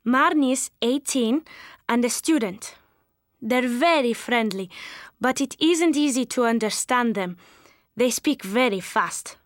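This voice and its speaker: A woman with a high voice reads a beginner's English lesson text aloud. She goes slowly and carefully, every word plain. The recording is close and dry. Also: no room sound. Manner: slow, careful, clearly spoken. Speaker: English course narrator. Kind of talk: slow scripted textbook reading.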